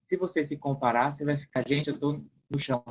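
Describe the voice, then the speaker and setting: A man's voice: on the high side, a little fast, lo-fi sound, slight background noise. A man with a Brazilian accent explaining things casually as a podcast guest, acting out hypothetical quotes as he goes.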